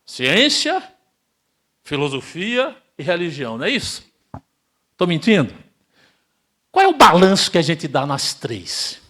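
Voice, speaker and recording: Older lecturer, lively pitch swings, somewhat high voice, emphatic, rhetorical, spiritist talk in a hall. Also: male voice, a little slow, pauses often. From Brazil. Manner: emphatic, rhetorical questions, swings between loud and hushed. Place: spiritist center hall, live audience.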